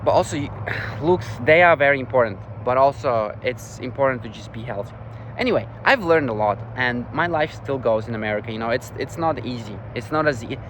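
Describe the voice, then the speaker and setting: Young man, European accent, rambly casual vlog talk, mid pitch, clear traffic noise outdoors. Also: fairly bright tone, low-quality recording. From Ukraine. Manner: rambling, many "like" and "you know" fillers. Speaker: vlogger. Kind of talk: casual reflective vlog update.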